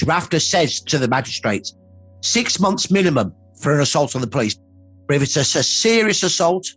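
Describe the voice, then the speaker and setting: A man's voice: medium pitch, a bit bright, slightly rough, no echo. A man giving an emphatic, earnest expert account of history in a documentary interview. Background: background music.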